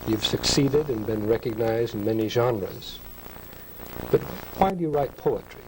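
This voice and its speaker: A man's voice: medium pitch, measured pace. A man working as an interviewer, putting considered questions to a guest. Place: recorded interview at a university. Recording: noisy old 1970s videotape recording.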